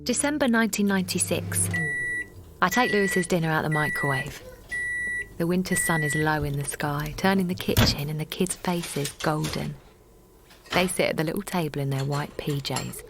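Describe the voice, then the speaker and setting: A woman's voice: low-pitched, normal speed, heavy background noise. An actress playing a tender young mother, delivering a contemplative first-person monologue in an audio drama. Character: tender young mother absorbed in home and children.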